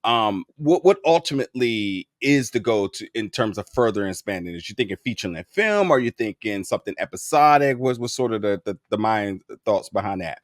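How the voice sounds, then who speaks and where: Male interviewer, low-ish voice, rambling, repeats words, dry sound. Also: expressive, a little fast, hardly any pauses, no room sound. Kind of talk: casual interview questions.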